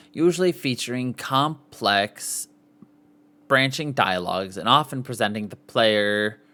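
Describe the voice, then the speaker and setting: A man with a mid-pitched voice reads written material aloud as a podcast host. His delivery is informal, with the odd off-the-cuff aside and self-correction as he works through the text. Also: fairly bright tone. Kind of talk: reading an article aloud with casual asides.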